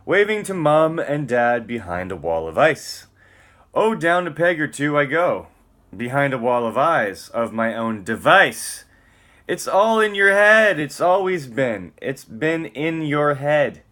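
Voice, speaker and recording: A man's voice: fairly high pitch, sing-song, a little slow, bright, forceful tone. A man giving a dramatic, theatrical spoken-word reading, moving between emphatic lines and muttered casual asides.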